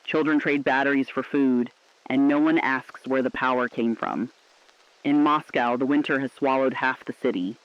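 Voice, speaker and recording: A man's voice: medium pitch, normal speed, natural voice. A man playing a defiant, sombre resistance radio correspondent, speaking earnestly and poetically in a scripted drama. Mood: bleak. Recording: muffled, lo-fi radio-drama sound.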